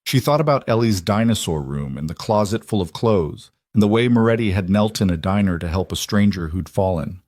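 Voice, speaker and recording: A man's voice: fairly low pitch, animated, brisk, few pauses, a little mellow, no room sound, studio quality. A man reading a scripted romance story as an audiobook narrator.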